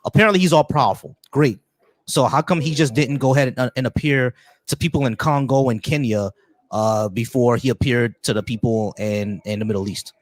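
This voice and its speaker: A man's voice: mid-range voice, brisk, no echo. Insistent male debate host, dry sound. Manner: insistent, repeats questions and interrupts. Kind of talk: heated call-in debate.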